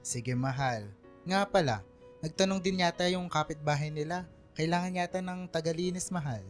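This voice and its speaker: A man's voice: fairly high pitch, moderate pace, close to an everyday voice. A man with a Filipino accent playing a teasing, generous father of two in a radio drama. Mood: reassuring. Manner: playful, affectionate teasing. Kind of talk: radio drama acting in casual family talk. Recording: music bed under the voice.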